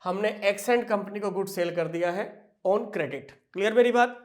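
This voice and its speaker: A man teaching accounting in a classroom lecture style. He addresses the students fondly, poses questions and then answers them himself, and slows right down when he dictates entries. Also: high-pitched. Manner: slow, drawn-out dictation of entries.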